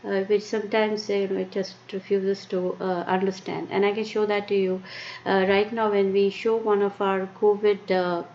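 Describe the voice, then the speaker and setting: Indian-accented woman, halting with fillers. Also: mid-range voice, little pitch movement, darkish tone. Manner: halting, many fillers and restarts. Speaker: tech presenter. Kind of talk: live-streamed tech talk. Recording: muffled lo-fi stream with faint noise.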